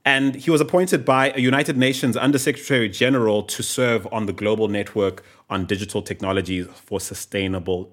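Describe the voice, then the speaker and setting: A man hosting a podcast interview, lively and reading out guest bios at length. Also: medium pitch. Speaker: podcast host.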